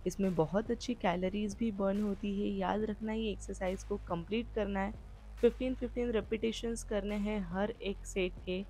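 A woman's voice: medium pitch, fairly even intonation, moderate pace, warm tone, rough recording. A woman giving a fitness vlog voice-over, explaining exercises and reminding viewers about posture and rest. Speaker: fitness vlogger. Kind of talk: instructional voice-over.

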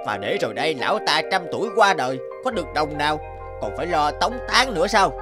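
Scornful villager, animated pitch. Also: male voice, fast. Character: scornful, mocking male villager. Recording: music behind the voice.

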